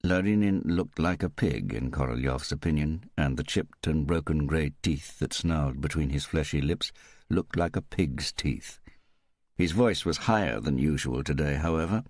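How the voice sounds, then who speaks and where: A man reading a novel as an audiobook narrator in a measured storytelling manner. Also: rumbling low, a little mellow, no room sound, clean studio sound.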